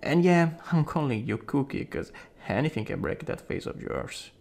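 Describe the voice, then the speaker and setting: A man playing a rude young school bully who is secretly smitten, in an ASMR roleplay, cocky and prone to fluster, with a gravelly, husky voice. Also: medium pitch, big pitch swings, moderate pace, a little mellow. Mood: gruff, apologetic. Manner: cocky taunts breaking into stammering, breathy asides.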